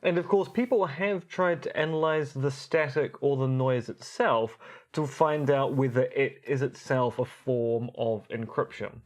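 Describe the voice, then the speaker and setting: A man of average pitch speaks as a podcast co-host. His delivery is articulate and explanatory, with formal, somewhat academic turns of phrase. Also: a little slow.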